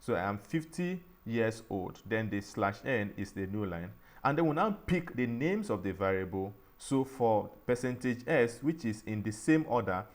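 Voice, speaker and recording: A man teaching a programming tutorial, explaining each step slowly and often restarting and saying "all right". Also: fairly low pitch. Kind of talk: screen-recorded coding tutorial.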